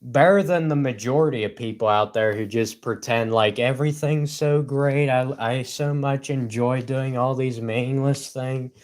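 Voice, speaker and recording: A young man with a gruff voice, rambling cynically on his livestream and laughing between points. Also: medium pitch, moderate pace, few pauses, darkish tone. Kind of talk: casual solo livestream rant.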